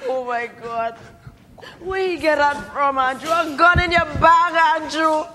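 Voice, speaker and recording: Woman doing a single mom, high voice, begging n crying, noisy background. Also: unhurried, pushed and bright. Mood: pleading. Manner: pleading, often crying. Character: worried single mother, pleading and tearful.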